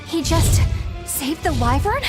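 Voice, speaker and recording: A woman's voice: extremely high pitch, very animated, slow pace, music in the background, heavily acted. An actress playing a sly young female witch in a game, who lectures in a teasing, playful way. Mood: surprised.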